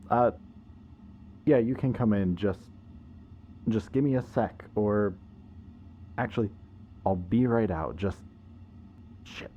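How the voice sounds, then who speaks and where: A man acting a possessive, anxious antihero and devoted best friend in an emotional, halting audio roleplay addressed to the listener. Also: fairly low pitch, dark tone, muffled recording, slight background noise. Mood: flustered. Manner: halting, hesitant, earnest.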